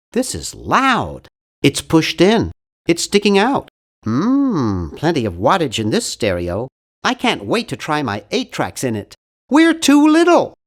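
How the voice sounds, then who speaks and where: A man's voice: sits high, exaggerated voice. A man playing an earnest, awkward, nerdy young cartoon hero in an adventure game, with a nasal, geeky, carefully worded delivery. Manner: geeky, over-articulate, earnest. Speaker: video game voice actor. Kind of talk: animated adventure game character lines.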